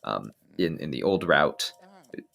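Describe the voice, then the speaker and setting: A man giving live speedrun commentary, explaining routes in a relaxed way with frequent ums and restarts. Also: rumbling low, unhurried, gravelly.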